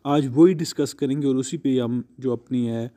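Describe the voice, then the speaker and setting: A man with a Pakistani accent lecturing on law, reading legal text and explaining it deliberately, switching between two languages. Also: mid-range voice, warm tone.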